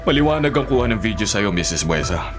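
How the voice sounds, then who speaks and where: Principled Filipino man, radio drama, accusing and earnest, expressive pitch, music underneath. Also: fast. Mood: stern accusation. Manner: earnest, stern. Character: righteous, accusing man confronting a criminal woman.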